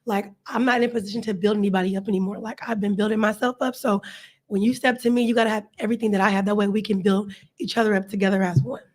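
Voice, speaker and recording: A woman's voice: medium pitch, flat delivery, very fast. A woman of thirty, a panel guest on a podcast, speaking confidently and emphatically. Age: 30s. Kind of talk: casual chat.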